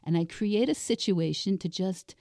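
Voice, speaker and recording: A woman in her sixties with a raspy voice, slightly under the weather, coaching herself aloud on a solo podcast in a deliberate, reflective way. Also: mid-range voice, darkish tone, no echo, studio quality. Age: 60s. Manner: deliberate, reflective, asks and answers own questions. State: unwell with a cold-like illness. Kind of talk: self-coaching monologue, thinking aloud.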